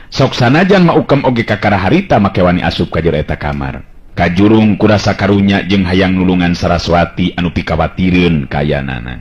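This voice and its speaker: A man with a Sundanese accent narrating a folk tale as a dramatic radio storyteller. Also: low voice. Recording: dull lo-fi radio-style recording.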